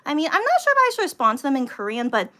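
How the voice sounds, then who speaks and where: An American woman hosting a casual livestream, rambling to viewers and repeating herself with animated emphasis, sounding somewhat tired. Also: high voice, racing. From United States. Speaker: livestream host, vlogger.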